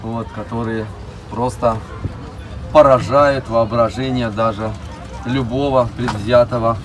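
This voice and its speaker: A middle-aged man giving a casual guided tour in short, unhurried phrases with frequent pauses. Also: medium pitch, steady tone. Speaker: zoo director giving an interview and tour. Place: open cab of a small vehicle driving through an outdoor park.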